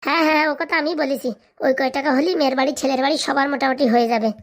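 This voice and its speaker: Woman voicing a high, rapid-fire cheeky young man. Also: steady tone. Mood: persuasive, bossy. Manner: sarcastic, blunt, quick-tongued. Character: cheeky, sarcastic young village man, scheming and bossy. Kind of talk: comedy cartoon dialogue.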